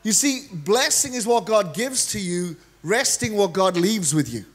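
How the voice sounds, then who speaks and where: A middle-aged Welsh man preaching a lively, joking sermon from a large church stage into a handheld mic. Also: high-pitched, measured pace. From Wales. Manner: energetic, joking preacher, gestures freely while pacing the stage. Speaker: guest preacher.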